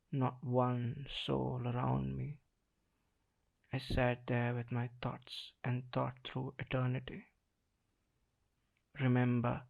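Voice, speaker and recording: A man delivers spoken-word poetry through a thin, phone-like recording. His pace is very slow and his voice has a heavy creak. He speaks dramatically, setting short phrases apart with weighty pauses. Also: medium pitch. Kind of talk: slam poetry recitation in the first person.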